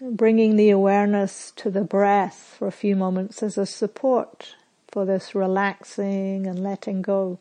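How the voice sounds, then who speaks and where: A woman meditation teacher leading a guided meditation in a soothing, measured way, with pauses and phrases that end softly. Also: mid-range voice, little pitch movement.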